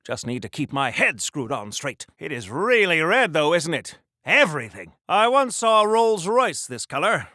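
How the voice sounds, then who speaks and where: A man's voice: a little high, very animated, normal speed, few pauses, bright, forceful tone, dry recording, clean studio sound. An actor playing an earnest, boastful, chattering American superhero man from the 1940s, in theatrical old-time radio serial style for a video game. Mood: earnest, rambling. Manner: wholesome old-time slang, theatrical, rambling.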